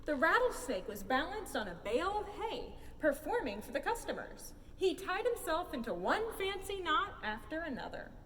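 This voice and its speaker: Female storyteller for a children's picture book, high-pitched and expressive, recorded at a distance in a reverberant hall. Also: moderate pace, hardly any pauses. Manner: theatrical, expressive. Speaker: storyteller reading aloud. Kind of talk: animated children's picture book read-aloud. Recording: roomy hall, distant mic, faint noise.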